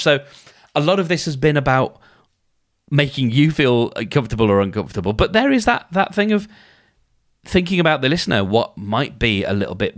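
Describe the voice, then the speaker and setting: A hoarse man hosting a casual solo podcast, thinking aloud and often restarting his sentences, talking close to the mic. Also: medium pitch, fairly bright tone, dry recording. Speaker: podcast host.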